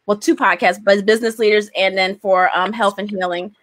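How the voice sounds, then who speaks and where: A woman's voice: mid-range voice, fairly even intonation, fairly quick. A woman in her forties talking casually and encouragingly as a guest on a video call, into a desk microphone. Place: small indoor room on a video call. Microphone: large desk microphone, close.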